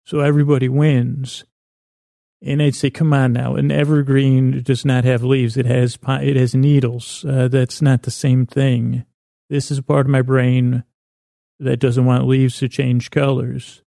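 A man with a gravelly, creaky voice wanders through a silly bedtime monologue. His tone is mellow and relaxed, and he stumbles and doubles back on words in a self-deprecating way. The sound is close and dry. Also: medium pitch, no room sound. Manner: meandering, halting, stumbling over and repeating words. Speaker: podcast host. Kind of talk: rambling bedtime podcast monologue.

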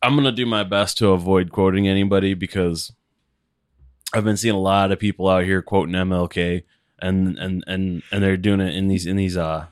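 A man co-hosting a casual podcast, speaking in a calm, quiet, monotone voice that rarely gets loud. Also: low voice, moderate pace, dry recording, clean studio sound. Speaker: podcast co-host. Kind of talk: casual chat.

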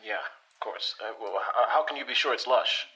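Man playing a bright, jittery rookie superhero. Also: on the low side, normal speed, slight background noise, poor audio. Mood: nervous. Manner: hesitant, stammering, casual swearing. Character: nervous, doubting rookie male superhero in audio drama. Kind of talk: naturalistic audio drama acting.

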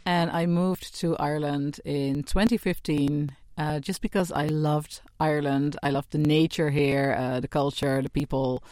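A woman's voice: sits low, steady tone, a little slow, few pauses, dark tone, dry recording. A woman from the Netherlands with a Dutch accent, a forest bathing guide telling her story as a podcast guest, over crackly mic static.

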